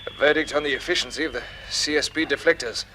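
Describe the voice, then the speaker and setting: An actor playing a steady, decisive space captain in a 1950s radio drama, with brisk, clipped commands. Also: male voice, fairly high pitch, rough recording. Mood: urgent, steady. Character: steady, decisive male space captain leading his crew. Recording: vintage radio serial with music behind.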